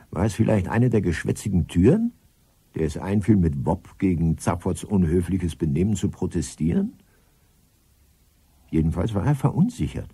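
A man narrating a German radio play in a measured, dry, formal manner. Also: sits low, warm tone, breathy edge, no echo. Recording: faint music behind.

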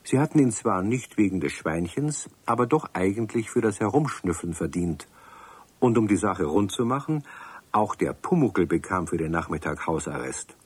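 Low husky guy narrating a kids radio story, calm and steady, dry close sound. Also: normal speed, dry recording.

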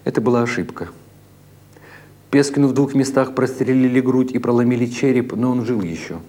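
A man narrating literary short stories as a dramatic audiobook, in a measured, deliberate way with expressive phrasing. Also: medium pitch. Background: music behind the reading.

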